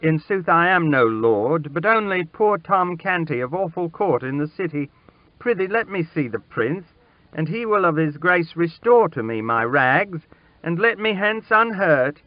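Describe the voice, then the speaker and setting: A man's voice: medium pitch, level tone, slow, clear, resonant tone, no echo, pitched above the performer's own voice, natural voice. A man reading aloud and voicing a frightened poor boy who pleads and stammers in old-fashioned speech. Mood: pleading. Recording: dull lo-fi dry recording.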